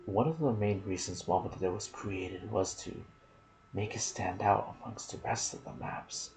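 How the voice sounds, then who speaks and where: A soft-spoken man narrating video game lore in an ASMR style, with a hushed, deliberate delivery in short phrases. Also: sits low. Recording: clear background noise.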